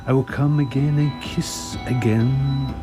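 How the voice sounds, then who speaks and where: A man playing a commanding, seductive undead vampire count in a radio play, grandiose and deliberate in his menace. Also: medium pitch, steady tone, natural voice. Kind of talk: radio theatre acting. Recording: radio play under loud music.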